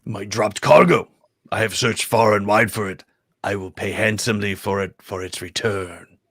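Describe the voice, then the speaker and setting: A man's voice: on the low side, steady tone, moderate pace, fairly bright tone, no echo, studio quality. A man voicing a greedy, scheming alien crime-lord king in an imperious, mocking way at a comedic table read. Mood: smug, scheming. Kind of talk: comedic live table read of a fan script.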